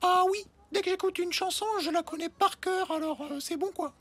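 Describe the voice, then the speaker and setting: A woman voicing a naive, slow-witted little schoolgirl in a French cartoon, childish and literal-minded. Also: very high-pitched. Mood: puzzled.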